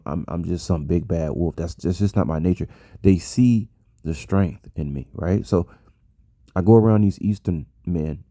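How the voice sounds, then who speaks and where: An American man talks casually to his followers in a deep voice with a gravelly fry. He rambles and circles back on his phrases for emphasis. The sound is dry and close. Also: warm tone, no echo. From United States. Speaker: vlogger. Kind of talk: casual personal monologue to an audience.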